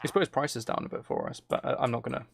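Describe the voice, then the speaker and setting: Male game streamer, gravelly medium-pitched voice, low-key casual chat, close mic with keyboard clicks. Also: wildly swooping pitch, fast. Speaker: streamer playing a game while chatting with viewers.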